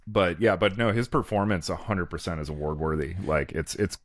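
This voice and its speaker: A man's voice: low-pitched, fairly quick, no room sound, studio quality. A man chatting casually about films as a podcast co-host, in a rambling way full of filler phrases. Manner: rambling, peppered with "like" and "you know".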